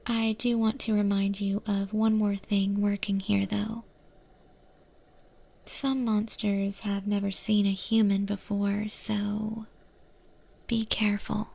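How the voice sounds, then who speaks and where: A woman playing a calm, courteous eldritch monster chef in a soft-spoken, whispered ASMR roleplay. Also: mid-range voice, gravelly, husky, full character voice. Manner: slow, gentle, polite, unhurried.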